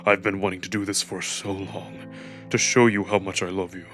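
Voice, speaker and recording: Man voicing a tender, low-voiced adult alien wolf. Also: flat delivery, normal speed, a bit bright, soft background music. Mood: tender, emotional. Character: adult male alien wolf, devoted, playful, snarky. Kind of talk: visual novel character acting.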